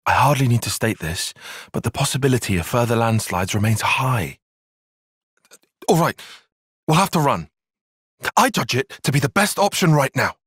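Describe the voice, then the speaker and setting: An earnest young tactician in a video game cutscene. His voice is a little husky and recorded close and dry. He speaks in formal, bookish sentences, with pitch that moves a good deal. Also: big pitch swings, moderate pace, long pauses, fairly bright tone, dry recording. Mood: urgent, exasperated. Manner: precise, formal, bookish phrasing. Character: analytical, earnest young male soldier and tactician. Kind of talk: dramatic game cutscene acting.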